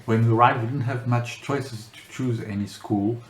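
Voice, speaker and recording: A man's voice: medium pitch, fairly even intonation, normal speed. A middle-aged man from France answering interview questions, talking hesitantly with many fillers and restarts. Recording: roomy echo, faint background noise.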